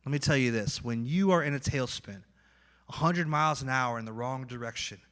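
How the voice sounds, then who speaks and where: A man with a Midwestern accent preaching a sermon, acting out inner voices and swinging between loud and quiet. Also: mid-range voice, a little fast. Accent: Midwestern American. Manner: animated, acts out inner voices with swings in loudness. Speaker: pastor.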